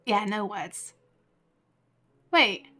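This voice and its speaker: A woman chatting casually on a game livestream, excitable and giggly, half talking to herself. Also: medium pitch, extremely slow, soft background music. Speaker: game streamer. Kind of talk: casual livestream chat while playing a video game.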